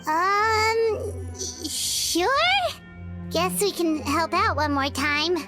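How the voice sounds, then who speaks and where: A woman's voice: very high voice, very slow, exaggerated voice. A woman voicing a tiny, chirpy, curious floating companion in a video game, blunt in her remarks. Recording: game dialogue with background music.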